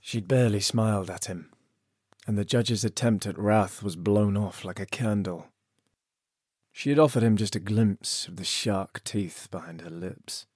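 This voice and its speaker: A man's voice: on the low side, measured pace, a little mellow, no echo, studio quality. A man playing a proud, defiant disgraced nobleman in a scripted fantasy audio drama, with an intense theatrical delivery. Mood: brooding, intimate. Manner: theatrical, intense, literary phrasing. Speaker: lead actor and narrator.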